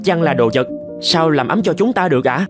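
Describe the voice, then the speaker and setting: A man's voice: fairly high pitch, expressive, fairly quick, over music, natural voice. A man voicing a young novice monk in a cartoon folk tale, polite, earnest and deferential to his master. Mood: respectful. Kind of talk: animated folk tale dialogue.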